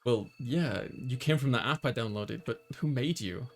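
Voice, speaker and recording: Guy doing a visual novel lets play, normal mid voice, chatting n reading lines, soft game music. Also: mid-range voice, moderate pace. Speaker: host, gamer reading player character lines. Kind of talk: casual let's play chat and read-aloud.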